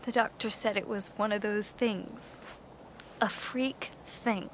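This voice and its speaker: An actress playing a guarded, grieving American daughter in a naturalistic radio drama. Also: female voice, mid-range voice, steady tone, takes it slowly, a little hiss or hum, natural voice, rough recording. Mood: subdued, reflective. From United States.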